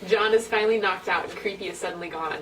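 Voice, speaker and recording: A woman's voice: mid-range voice, quick pace. A woman reading stage directions in an informal, amused amateur script read-through. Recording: distant mic, noisy room.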